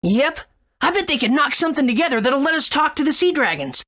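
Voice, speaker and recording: A woman's voice: high-pitched, very animated, fast, a bit bright. A woman voicing an eager, good-natured young boy hero in a video game, earnest and agreeable.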